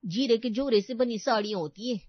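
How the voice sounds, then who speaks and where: A woman acts out a cocky housemaid from a comic storybook tale, pitched high. She talks in cheeky street slang, showing off with total confidence, in a dry, slightly dull recording. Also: a little fast, no echo, natural voice. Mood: boastful. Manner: cheeky, colloquial street-style Hindi with English words. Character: sassy, overconfident working-class housemaid woman, boastful.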